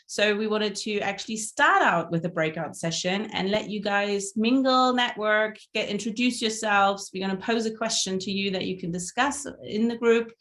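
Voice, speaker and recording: A woman from Germany speaks with a German accent, hosting an online meeting. Her pitch sits mid-range and her talk is friendly and chatty, full of ums and restarts. She sounds like she is on a video call. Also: moderate pace, hardly any pauses, a bit bright. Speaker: meeting host.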